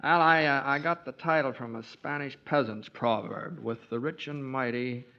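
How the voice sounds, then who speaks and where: A man's voice: medium pitch, normal speed, close to an everyday voice. An actor in his thirties playing a wry, hesitant young writer in a 1940s radio play. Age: 30s. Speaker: lead actor. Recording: dull 1940s radio broadcast.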